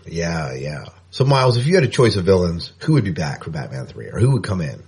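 A male podcast co-host with a lowish, husky voice and animated, swinging intonation. He chats casually and likes to repeat a phrase to drive it home. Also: fairly quick. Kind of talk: casual chat.

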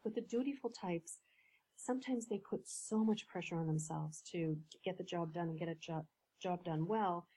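A woman coach, a podcast guest, explaining ideas in a measured way with some fillers. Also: lowish voice, warm tone, rough recording. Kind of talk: podcast interview.

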